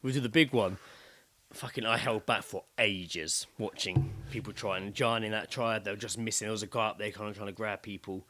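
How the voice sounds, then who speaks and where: A man chatting casually as a podcast co-host, telling stories in an excitable, blokey, sweary way. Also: fairly low pitch, a little fast, background noise. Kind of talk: casual chat, animated storytelling.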